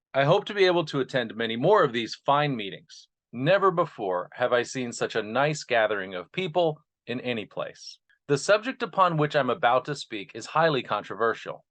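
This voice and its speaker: An earnest male host speaks as a narrator addressing his listeners. His voice sits at a medium pitch, and he delivers his words sincerely, as a presenter would. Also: a bit bright. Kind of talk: informal introduction, then reading a speech aloud.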